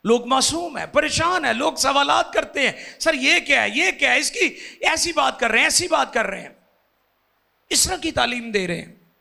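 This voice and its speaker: Impassioned preacher man, gravelly. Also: extremely high pitch, animated, normal speed. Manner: impassioned preaching, rising to loud climaxes. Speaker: pastor. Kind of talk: sermon. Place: church stage with curtains. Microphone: handheld microphone.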